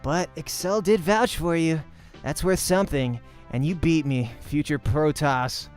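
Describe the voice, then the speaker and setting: A man's voice: on the high side, a little slow, soft background music, natural voice. A man voicing a cocky, hot-tempered young male pro gamer in a visual novel, brash and slangy. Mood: skeptical, grudging.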